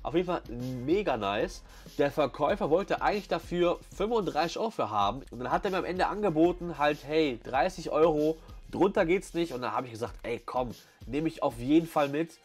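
A man's voice: fairly high pitch, animated, a little fast, husky. A man giving casual, enthusiastic vlog commentary full of youthful slang. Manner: enthusiastic slang-filled chat. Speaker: vlog host. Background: background music.

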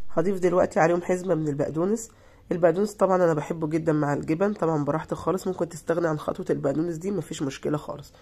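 A woman with an Egyptian Arabic accent, hoarse from being ill, giving a chatty, reassuring voice-over for a cooking tutorial. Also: low-pitched, a little mellow. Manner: chatty, reassuring, repeats herself. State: hoarse from illness. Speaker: cooking channel host.

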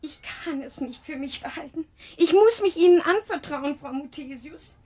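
High-voiced, flustered female assistant. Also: expressive, moderate pace, darkish tone. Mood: anxious. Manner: agitated, confiding, deferential. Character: flustered, anxious young children's home assistant. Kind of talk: German children's radio play acting.

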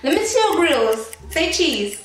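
A woman's voice: very high voice, big pitch swings, unhurried. A woman in her twenties from Angola with an Angolan accent, teasing strangers on a video chat in a playful, bossy way. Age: 20s. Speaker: vlogger prank-calling strangers. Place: small indoor room, seated at desk. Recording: roomy echo from far off with faint music.

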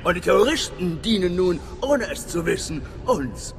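An actor playing a talkative, self-satisfied imperial station chief in a sci-fi game, with a theatrical manner. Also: male voice, high-pitched, big pitch swings, normal speed, creaky, slight background noise, exaggerated voice. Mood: pleased, effusive. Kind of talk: dramatic sci-fi game dialogue. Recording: long reverberant hall, music behind.

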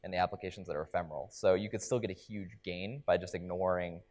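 A man's voice: low voice, fairly even intonation, fast, a little mellow. A young man giving a conference keynote on a large stage into a headset mic, explaining ideas with frequent ums and restarts. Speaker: keynote speaker.

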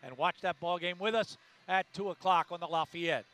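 An older male sports announcer with a high, bright, forceful voice. He rattles off final numbers and upcoming games from a courtside desk in an arena, speaking into a headset mic. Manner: brisk reading of stats and promos. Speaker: play-by-play announcer. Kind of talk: broadcast sports wrap-up.

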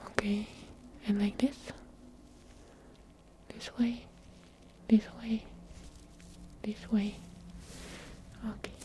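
A young woman whispering softly as an eye examiner in an ASMR roleplay, giving gentle, unhurried instructions close to the microphone. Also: medium pitch, flat delivery, noisy background. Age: young adult. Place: small dim indoor room with curtain.

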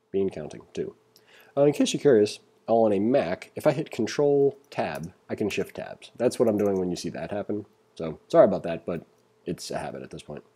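A man with a very dark, relaxed voice and a slightly husky edge talks through code like an informal instructor. He thinks aloud and pokes fun at himself in a casual screencast style, pitched on the low side. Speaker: programming instructor. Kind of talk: casual screencast coding tutorial. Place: indoors at a computer, screen recording voice-over.

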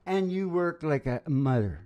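A man's voice: a little high, animated, measured pace, a little mellow. A middle-aged man who hosts and sings, chatting casually in a folksy way, repeating key words as he gives advice into a stand microphone. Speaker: webcast host and singer. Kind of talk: casual chat, motivational advice.

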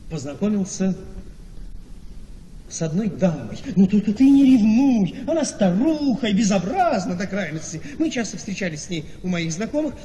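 In a reverberant hall with clear background noise, a vain gentleman husband speaks in a high voice. He is scheming and smoothly persuasive, stressing his words and pausing dramatically, as in a stage-like radio play. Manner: emphatic, dramatic, with weighty pauses. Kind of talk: theatrical radio play acting. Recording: noisy, long echoing hall reverb.

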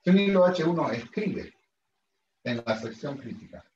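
Middle-aged lecturer with a headset mic. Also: male voice, fairly high pitch, lively intonation, normal speed, darkish tone, slight background noise. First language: Spanish. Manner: chatty, self-deprecating, asks students questions often. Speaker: university lecturer. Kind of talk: online class lecture. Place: room at a whiteboard, indoors. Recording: roomy, slightly dull video-call audio.

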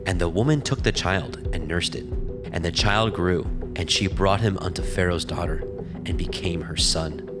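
A man narrating scripture from a script in a solemn, measured way. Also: low voice. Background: music.

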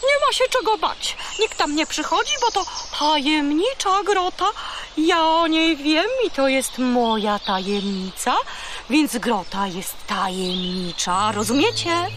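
Cheeky female seagull for a children's radio play: very high, lively, brash, strong echo. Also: a little slow, noisy background. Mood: boastful. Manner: brash, chattering, exclamatory. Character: bossy, chatty female seagull guide, cheeky and boastful. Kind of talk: children's audio drama acting.

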